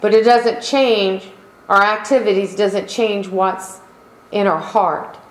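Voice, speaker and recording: A middle-aged woman pastor delivering a sermon in slow, emphatic preaching style, calling out for amens. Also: mid-range voice, steady tone. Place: church sanctuary. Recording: echoey room.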